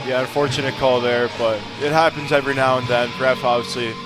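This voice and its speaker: Fast-talking man analyzing hockey over loud music. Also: mid-range voice, fairly even intonation. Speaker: color commentator. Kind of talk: live ice hockey game analysis. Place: ice hockey rink.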